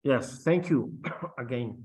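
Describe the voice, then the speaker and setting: A middle-aged man whose first language is Spanish speaks slowly and haltingly, with many fillers and restarts. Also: mid-range voice, a little mellow. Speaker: guest captain. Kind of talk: interview on aviation.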